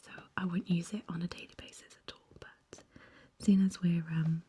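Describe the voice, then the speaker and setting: A young woman speaking very softly in a gentle, halting ASMR makeup chat, her whispery voice slightly croaky and hoarse after an illness. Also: fairly low pitch, flat delivery. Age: young adult. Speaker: ASMR vlogger.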